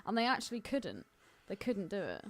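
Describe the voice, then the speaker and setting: A woman's voice: medium pitch, wildly swooping pitch, normal speed. A woman co-hosting a film podcast in casual, conversational chat. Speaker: podcast co-host.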